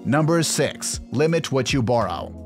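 Male explainer narrator, mid-pitched voice, measured and instructional, music underneath. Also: lively intonation. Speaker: video narrator. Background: background music.

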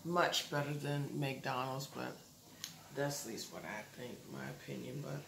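A woman's voice: rumbling low, a little slow, vocal fry. Chatty woman vlogging in an echoey room. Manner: chatty, rambling, many fillers and restarts. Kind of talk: casual vlog chat. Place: living room, indoors. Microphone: camera close up. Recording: roomy echo, audible background noise.

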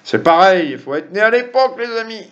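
A man's voice: sits high, big pitch swings, a little fast, fairly bright tone. A middle-aged man, hosting a quiz to camera with jokey, chatty asides to viewers. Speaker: quiz host. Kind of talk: reading quiz questions to camera. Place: small room at home. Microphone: webcam or computer mic at desk. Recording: dull lo-fi webcam sound.

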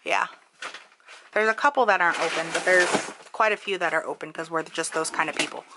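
A laid-back woman chats about a shopping trip and snacks while helping sort a pantry. The sound comes from a handheld home video with a steady hum of background noise. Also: lowish voice, brisk. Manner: chatty, laid-back storytelling. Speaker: vlogger. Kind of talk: casual chat.

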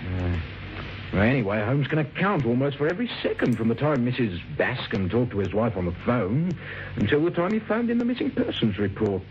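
An actor playing a suspicious, dogged police sergeant in an old radio drama. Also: male voice, medium pitch, sing-song, a little fast, lightly acted. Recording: muffled old radio recording, faint hiss.

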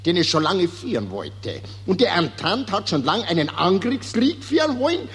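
High, husky know-it-all patriot. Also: male voice, huge pitch swings, moderate pace, a bit bright, heavily acted. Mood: cocksure. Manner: emphatic, know-it-all explaining. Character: cocksure, gullible patriotic Austrian newspaper reader. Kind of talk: mid-20th-century radio play acting.

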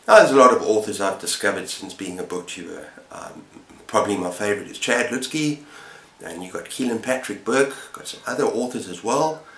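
A man's voice: lowish voice, a bit bright. A man in his fifties chatting casually and enthusiastically to camera as a book reviewer, rambling a little. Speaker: book reviewer and channel host. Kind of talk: casual chat to camera about books. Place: small indoor room with bookshelves. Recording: roomy echo, faint background noise.

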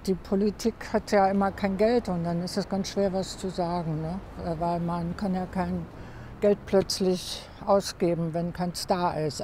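A woman's voice: fairly low pitch, warm tone. An older woman answering a street interview outdoors, frank and blunt, sounding annoyed. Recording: faint outdoor traffic noise.

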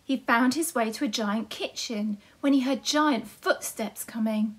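Slow, high-voiced woman telling a nursery story. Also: husky. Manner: simple, deliberate phrasing for small children. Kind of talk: scripted children's story narration.

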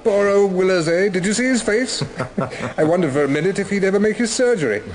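Radio play: donnish British gentleman scientist, genial, smug, theatrical, very animated delivery. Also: sits high, a little fast. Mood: amused, smug. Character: genial, self-satisfied older gentleman scientist showing off his experiment. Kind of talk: radio drama acting.

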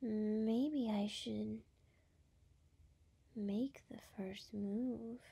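Woman acting a slow, breathy, brash young gal. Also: fairly high pitch, steady tone, mellow, full character voice. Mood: scheming, teasing. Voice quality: breathy, often dropping into whispers. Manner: halting, teasing, exasperated. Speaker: voice actress. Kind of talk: ASMR roleplay.

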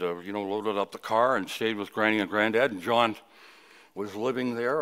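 A man of sixty-nine from Canada delivering a funeral sermon at a lectern, reflective and halting, with personal reminiscence. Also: lowish voice, a bit bright. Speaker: funeral officiant, preacher. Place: indoors at a funeral home, at a wooden lectern. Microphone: lectern microphone.

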